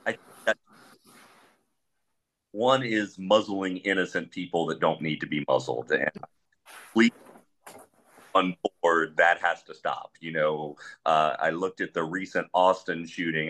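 Big-voiced man with a bright, forceful edge. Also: low voice. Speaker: police trainer, panelist. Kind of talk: panel discussion.

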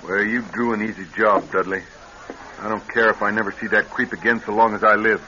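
Embittered ex-convict, terse, sardonic, cutting bright tone, old radio drama, muffled lo-fi sound. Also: male voice, lowish voice, fairly even intonation, a little fast. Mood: wary, weary. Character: bitter hard-bitten male ex-convict, resentful and weary. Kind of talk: radio crime drama acting. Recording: dull, hissy old radio recording.

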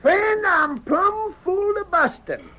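A high, swooping old cowpoke from a radio western, an aged war veteran with a folksy Western American drawl and a cracked, twangy voice. He exclaims his lines like a hearty sidekick, on a muffled vintage recording. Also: big pitch swings, slow, full character voice. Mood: hearty, grateful.

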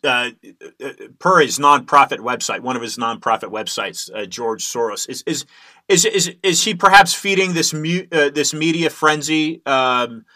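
A man hosting an interview, halting and stumbling through his questions. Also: on the high side, bright, forceful tone. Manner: halting, many restarts and fillers. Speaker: host.

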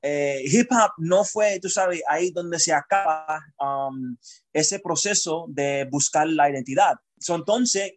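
Man, guest poet, reflective, searching for words, fairly high-pitched. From Chicago, United States. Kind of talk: conversational interview.

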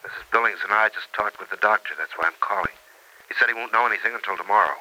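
An actor playing an earnest, guilt-ridden young man in an old-time radio drama, speaking haltingly and blaming himself. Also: lowish voice, sharp tone, low-quality recording. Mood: subdued, practical. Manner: earnest, halting, self-reproaching. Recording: vintage radio recording.

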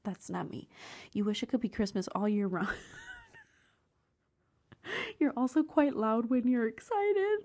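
A woman vlogging in a hushed half-whisper, slightly hoarse, reacting to quiz questions with bursts of excited disbelief. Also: fairly high pitch, a little slow, pauses often. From Cuban family background. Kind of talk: casual chat, reading quiz questions aloud.

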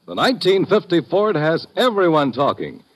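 An American male announcer with a highish, husky voice and animated intonation. He reads in the selling style of a 1950s radio commercial. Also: normal speed.

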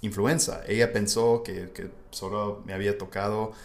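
A man's voice: fairly low pitch, little pitch movement, moderate pace. A man from the United States, a native English speaker, hosting a casual language-learning podcast. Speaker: podcast host. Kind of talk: casual educational podcast conversation.

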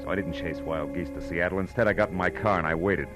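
Actor as deep-voiced hard-boiled radio detective. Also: male voice, fast talker, natural voice. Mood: brooding, tense. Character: hard-boiled male private detective, wry and dogged. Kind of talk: 1940s radio drama acting, noir narration. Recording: vintage radio recording with loud music.

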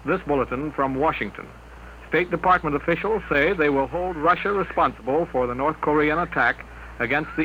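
A man reading a formal 1950s radio news bulletin as an announcer. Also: on the high side. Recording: dull, faintly noisy old radio broadcast.

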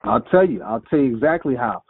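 A man in his forties telling his life story in an interview, animated and quoting himself in lively voices. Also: medium pitch, fairly quick, husky. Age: 40s. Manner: animated storyteller, acts out quotes. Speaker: guest, rapper. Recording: phone-like lo-fi sound.

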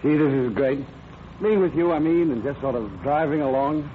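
A man's voice: a little high, moderate pace, close to an everyday voice. A man playing an earnest, boyish eighteen-year-old in a vintage radio drama. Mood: tender, eager. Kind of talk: 1940s radio acting. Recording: tinny vintage radio, hissy background noise.

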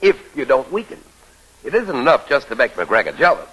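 A scheming playboy suitor with a bright, forceful voice that swings widely in pitch. He is teasing and sure of himself, in 1940s radio comedy style on an old broadcast recording. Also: wildly swooping pitch, brisk, breathy edge, full character voice, poor audio. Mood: conspiring. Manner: sly, teasing, confident. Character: sly, smooth-talking, much-married male Southern tobacco heir, scheming suitor.

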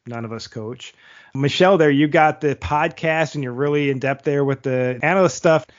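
A man co-hosting a hockey podcast, a goalie, reading play-by-play rundowns of highlight goals and chatting with the others. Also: normal speed, highs cut off. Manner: reads plays like sports commentary, then chats loosely.

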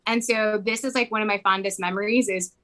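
A woman's voice: mid-range voice, steady tone, brisk, pushed and bright. A young woman who grew up in Mexico, talking casually in an interview, rambling with lots of "like" fillers. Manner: rambling, heavy use of "like" fillers.

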